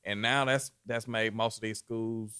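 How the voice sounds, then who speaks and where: A man in casual conversation, telling an unhurried story and often saying "you know". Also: fairly low pitch, steady tone, bright, forceful tone, clean tone. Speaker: guest. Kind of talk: casual chat.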